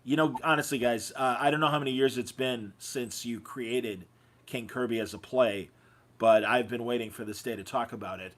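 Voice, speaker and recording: A man's voice: medium pitch, fairly even intonation, normal speed. A man hosting a podcast, chatting casually and effusively with his guests. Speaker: podcast host. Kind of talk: casual interview chat.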